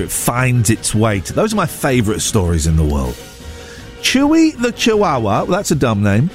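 A man hosting a morning radio show with a blocked nose, chatting casually and reading out stories in a rambling, jokey way, stumbling and correcting himself. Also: mid-range voice. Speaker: radio breakfast show host. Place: radio studio.

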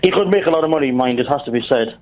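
A man's voice: mid-range voice, animated, very fast, a crowd in the background. A man calling in to a radio show, chatty and teasing. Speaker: caller. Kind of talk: casual phone-in chat. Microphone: phone. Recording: muffled phone line.

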